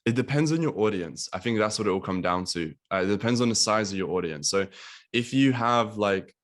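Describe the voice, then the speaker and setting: A young man from Latvia talks loosely over a video call, his voice low. He fills his speech with ums and likes and swears casually. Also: expressive, brisk. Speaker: guest business coach.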